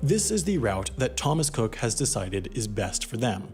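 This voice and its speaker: A man's voice: medium pitch, very animated, normal speed, darkish tone. A man delivering scripted narration for an explainer documentary.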